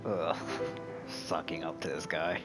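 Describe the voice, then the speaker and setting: A man's voice: very deep voice, level tone, unhurried. A man giving casual, sarcastic asides as he reacts to a video game story. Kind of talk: casual gameplay reaction commentary. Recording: game music behind the voice.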